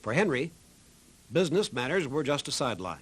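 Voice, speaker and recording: A man reading scripted documentary narration in a measured, earnest manner. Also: medium pitch, sing-song, fairly bright tone, slightly rough.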